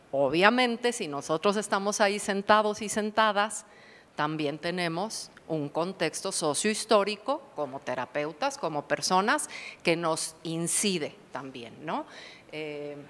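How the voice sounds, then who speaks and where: A woman's voice: on the low side, fairly bright tone. Woman lecturing in a reverberant hall. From Mexico. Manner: reflective, explanatory, poses rhetorical questions. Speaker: psychotherapist giving a lecture. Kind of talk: academic conference talk.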